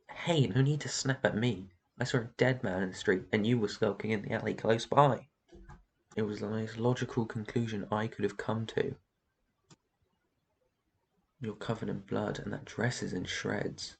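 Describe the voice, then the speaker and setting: A man's voice: on the low side, gravelly, husky, heavily acted. A man playing a brooding, protective vampire in an intense fantasy ASMR roleplay. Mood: softening, concerned. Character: brooding protective male vampire guarding his human flock.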